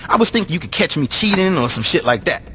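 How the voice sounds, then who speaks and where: Bright, animated street gang planner. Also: male voice, a little high, fast talker, a little hiss or hum. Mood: confident, scheming. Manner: profane, explanatory. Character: brash, scheming male street gang lieutenant.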